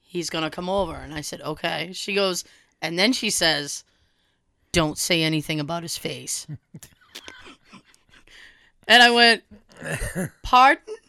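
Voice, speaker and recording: Young woman, cold-hoarse, bright crisp voice, dry close sound, animated storyteller. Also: lowish voice, unhurried, pauses often, dry recording. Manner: animated, swearing, acts out quoted dialogue. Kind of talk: casual storytelling chat.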